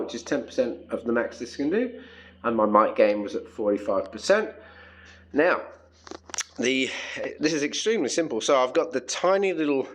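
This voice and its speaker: Casual Australian man demonstrating electronics. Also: mid-range voice. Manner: informal, chatty, thinking aloud while handling equipment. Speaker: amateur radio hobbyist presenting a demonstration. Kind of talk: casual hands-on tech demonstration.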